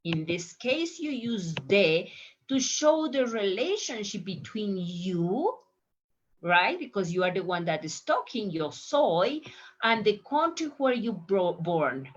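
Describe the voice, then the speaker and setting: Lady teacher, slow, repeats examples, bouncy pitch, kinda muffled recording. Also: sing-song. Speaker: Spanish teacher. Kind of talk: online language lesson. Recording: dull lo-fi audio.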